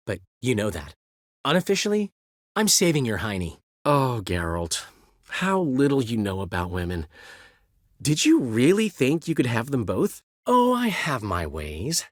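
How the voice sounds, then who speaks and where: A man's voice: mid-range voice, dry recording, studio quality. An actor voicing a vain, witty male bard in a video game, speaking in flamboyant, florid phrases. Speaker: game character. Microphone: dry studio recording.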